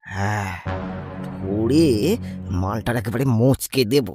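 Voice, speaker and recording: A man voicing a gruff, threatening villager plotting a night watch in cartoon dialogue. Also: on the low side, slow, over music.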